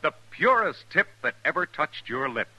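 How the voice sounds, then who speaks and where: An American man delivering an emphatic sales pitch as a 1950s radio commercial announcer. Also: sing-song, moderate pace, sharp tone, husky, low-quality recording. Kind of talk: 1950s radio cigarette advertising.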